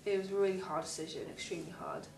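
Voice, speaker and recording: A young woman in her late teens, a young mother, telling her story hesitantly with pauses. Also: on the low side, fairly even intonation, darkish tone. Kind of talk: personal testimony. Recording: roomy, distant, faint noise.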